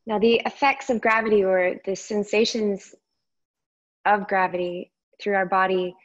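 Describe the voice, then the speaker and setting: A woman teaching yoga meditation, speaking gently and unhurriedly in short phrases with long pauses between them. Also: medium pitch, steady tone, lo-fi sound. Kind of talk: guided meditation instruction.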